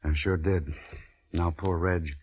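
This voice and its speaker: An actor playing a smooth, self-pitying male Hollywood star, languid and flirtatious, in a 1940s radio drama. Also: deep voice, fairly even intonation, slow pace, darkish tone, slight background noise, rough recording. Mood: brooding.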